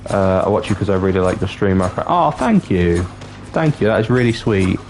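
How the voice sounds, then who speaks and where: A young man from North Wales with a very deep, low voice chats with his livestream viewers in an easy, halting way, often restarting his sentences. Game music plays clearly underneath him. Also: animated. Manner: chatty, halting, with many restarts. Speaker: streamer. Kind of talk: casual livestream chat.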